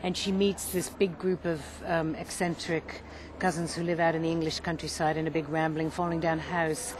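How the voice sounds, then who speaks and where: A woman informally describing a story, hesitantly searching for words, with clear ambient noise around her. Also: sits low, level tone, normal speed. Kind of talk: informal spoken plot summary. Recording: clearly noisy background ambience.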